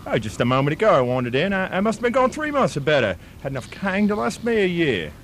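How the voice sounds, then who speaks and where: A man's voice: on the high side, very animated, a bit bright, slight background noise. A man doing a rambling Aussie bushman. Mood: jovial banter. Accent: put-on Australian. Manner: stammering, drawn-out storytelling. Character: rambling Aussie bushman spinning a spooky tall tale. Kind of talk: comic radio drama.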